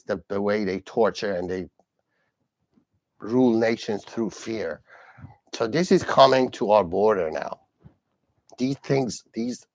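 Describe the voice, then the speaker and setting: A man's voice: fairly low pitch, no echo. A man born and raised in Iran, hosting a solo live commentary in English, earnest and repeating himself, with many fillers and restarts. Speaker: host.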